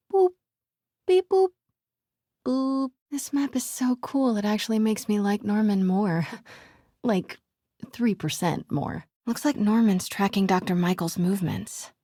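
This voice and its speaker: A woman playing a wry, curious, quick-witted young woman in a video game, muttering to herself as she sneaks around, with a breathy voice. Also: medium pitch, dry recording, clean studio sound. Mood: playful, amused. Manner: wry, hushed self-talk.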